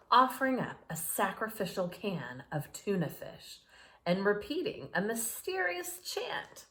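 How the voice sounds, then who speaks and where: Lady doing storytime for kids, lowish voice, super expressive, takes her time, little asides. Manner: dramatic, talks to young listeners in asides. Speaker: storybook reader for children. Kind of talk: animated read-aloud storytelling.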